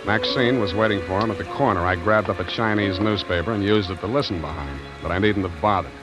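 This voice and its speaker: An actor playing a wry, deadpan hard-boiled private detective in 1940s radio noir style. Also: male voice, deep voice, quick pace, natural voice, rough recording. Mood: wry narrating. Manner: deadpan, sardonic. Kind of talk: 1940s radio noir acting and first-person narration. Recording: vintage radio broadcast, music underneath.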